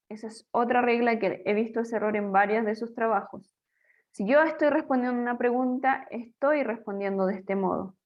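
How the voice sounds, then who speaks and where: A woman with a slightly high voice gives an English grammar lesson, explaining patiently and step by step. She often poses a question and answers it herself, and slows down to model example sentences. Her delivery is steady and teacherly. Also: dull audio.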